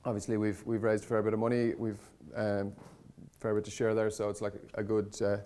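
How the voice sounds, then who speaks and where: A man with a fairly low voice presents informally to an audience. He talks conversationally and loosely, doubling back to rephrase himself. His wording is blunt, opinionated and colloquial. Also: completely flat delivery, fairly quick, dark tone, a little hiss or hum. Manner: conversational, hesitant, rambling with frequent self-corrections. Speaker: conference speaker on startup content marketing.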